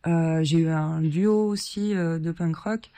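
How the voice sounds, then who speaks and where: A woman from Lithuania, a singer-songwriter, answering radio interview questions in a shy, soft-spoken and hesitant way with many fillers. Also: low-pitched, slow, dry recording.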